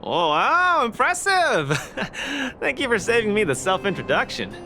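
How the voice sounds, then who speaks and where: A man's voice: high voice, huge pitch swings, a little slow, bright, forceful tone, soft background music, full character voice. An actor playing a cheerful, cocky, earnest young male fighter in a video game, with an upbeat, breezy, eager manner. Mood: eager, confident.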